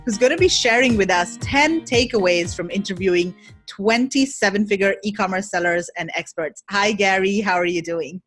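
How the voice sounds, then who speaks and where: A woman hosts a podcast interview, greeting her guest and moving things along with friendly, upbeat questions. Her voice sits at a middling pitch. Faint music plays underneath. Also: moderate pace, fairly bright tone. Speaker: podcast host.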